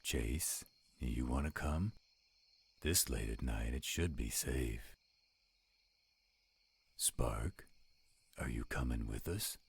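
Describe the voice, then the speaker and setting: A man acts out a protective, gruff werewolf in a roleplay drama. The voice is extremely deep and husky, delivered slowly, and recorded very dry and close. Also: no room sound, full character voice. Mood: gruff, restless. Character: gruff, edgy male werewolf, protective of companions. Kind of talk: audio roleplay acting.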